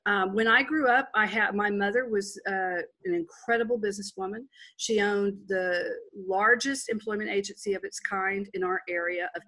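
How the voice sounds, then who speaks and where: A middle-aged woman from Texas with a faint softened Texas accent, telling her own life story as an interview guest. She talks frankly and candidly, with plenty of fillers and restarts. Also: medium pitch, low-quality recording.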